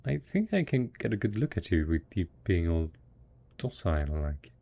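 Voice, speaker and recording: A man playing a devoted, patient husband in an intimate post-apocalyptic audio roleplay, coaxing slowly and hesitantly in a soft, husky voice. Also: sits low, sing-song, heavily acted. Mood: encouraging, fond. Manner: slow, coaxing, hesitant, talking as if to a child. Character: devoted, patient husband tending zombie spouse after apocalypse.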